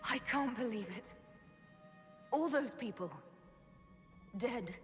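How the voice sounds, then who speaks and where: A woman's voice: a little high, expressive, slow, fairly bright tone. An actress playing a shaken, bewildered young female elf prisoner in a dramatic video game, hesitant and questioning. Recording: long hall reverb, music behind.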